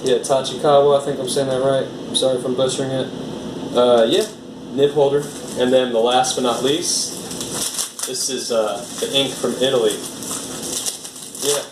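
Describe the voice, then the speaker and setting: American man, relaxed rambly vlogger, off-mic in a reverberant room, steady AC hum behind. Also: medium pitch, moderate pace, pauses often, far from the mic. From United States. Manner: rambling, filler-heavy, easygoing. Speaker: art vlogger. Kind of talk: casual unboxing chat.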